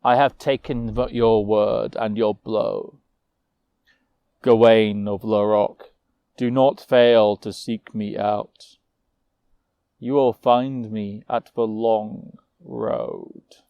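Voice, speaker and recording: A man voicing an imposing frost giant in a storytold fantasy tale, solemn and menacing, with slow declamatory speech. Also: fairly low pitch, fairly even intonation, vocal fry, clean tone, no echo, lo-fi sound, heavily acted. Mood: grim warning.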